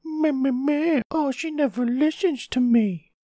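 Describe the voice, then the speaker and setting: Squeaky, whiny nagging dad. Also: male voice, unhurried, warm tone, dry recording, clean studio sound. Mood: mocking. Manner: mocking, complaining. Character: whiny, mocking, nagging caricature of a disapproving father.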